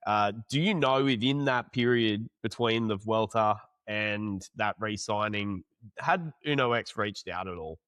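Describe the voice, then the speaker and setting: Man, host, low voice, chatty long questions, dry close mic. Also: a bit bright, no room sound. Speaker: podcast host. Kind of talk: casual interview chat.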